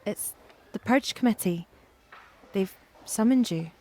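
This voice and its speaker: A woman playing a calm, efficient secretary with a practical, soothing manner in an audio drama. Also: mid-range voice, slow pace. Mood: businesslike. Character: calm, efficient, discreet female secretary, loyal and reassuring.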